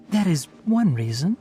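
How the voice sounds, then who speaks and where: A woman voicing a practical young male forest ranger in an anime-style game, explaining things in a measured, matter-of-fact, caring way. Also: mid-range voice.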